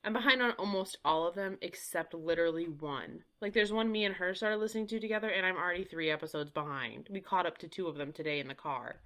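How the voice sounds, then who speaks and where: A woman's voice: on the low side, fast, few pauses, a bit bright. A young woman, a podcast guest who rambles and laughs at herself in casual chat. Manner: rambling, giggly, self-deprecating.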